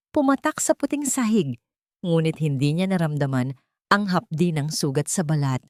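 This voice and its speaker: A woman narrator delivers scripted melodrama in a fairly low register. Her storytelling is solemn and emotive, captured in a close, dry recording with very little room sound. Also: a little mellow, no echo. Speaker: audio drama narrator.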